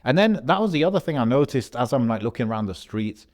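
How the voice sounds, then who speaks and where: A man from Algeria, a podcast co-host, telling a travel story in a relaxed, rambling way with slang and fillers. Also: medium pitch, fast. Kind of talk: casual podcast chat.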